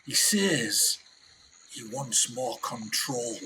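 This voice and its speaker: An actor playing a grim, determined male scientist on a jungle expedition, grave and halting with rough diction, in a radio play. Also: mid-range voice, animated, bright, forceful tone, a little hiss or hum, full character voice. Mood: grave, uneasy.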